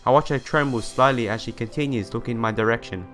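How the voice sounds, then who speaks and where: A man hosting a let's play, reading visual novel narration aloud in an expressive storytelling manner. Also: medium pitch, fast talker. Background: background music.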